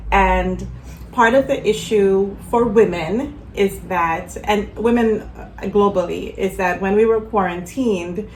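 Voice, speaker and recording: A woman hosting a casual chat, lively and joking, with slangy asides. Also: on the low side. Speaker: host. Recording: echoey room, faint ambient noise.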